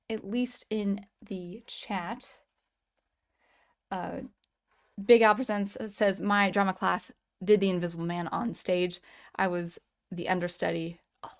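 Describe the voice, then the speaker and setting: A woman's voice: mid-range voice, darkish tone. A woman hosting a casual live stream, chatting and thanking viewers by name, with a slightly strained voice. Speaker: livestream host.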